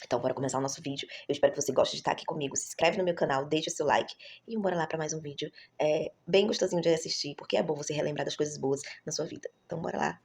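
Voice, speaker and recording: A woman's voice: deep voice, rapid-fire, vocal fry, hardly any pauses, a little hiss or hum. A woman, chatting to her viewers in a rambling vlog style and correcting herself often. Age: 30s. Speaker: vlogger, mother. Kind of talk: casual vlog storytelling.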